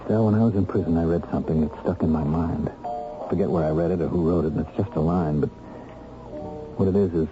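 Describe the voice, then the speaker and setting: A deep-voiced, embittered ex-convict husband in a radio play. He speaks earnestly and methodically, set on clearing himself. The recording is dulled and old, with music in it. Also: dull audio. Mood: determined. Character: bitter, determined wronged bookkeeper, freed convict husband. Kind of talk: radio drama acting.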